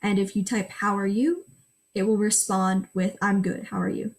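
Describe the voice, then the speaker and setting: A woman's voice: medium pitch, fairly even intonation, moderate pace. A teenage girl whose first language is Gujarati, reading a prepared school project presentation and walking through screenshots step by step. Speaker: student presenter.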